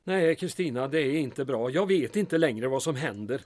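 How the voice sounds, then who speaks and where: A man's voice: mid-range voice, lively intonation, darkish tone, close to an everyday voice. En man som spelar en pressad, torrt ironisk borgmästare i ett ljuddrama. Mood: troubled, wry. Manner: exasperated, drily ironic. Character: beleaguered, wry male small-town mayor protesting his innocence. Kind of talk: audio drama acting.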